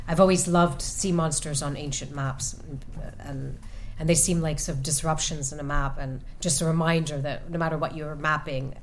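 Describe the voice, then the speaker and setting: A woman author answering audience questions about her novel, speaking thoughtfully with many pauses and restarts. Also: low voice, fairly even intonation, slight background noise. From United States. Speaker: author at a reading. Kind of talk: book talk and audience Q&A. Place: event room with an audience.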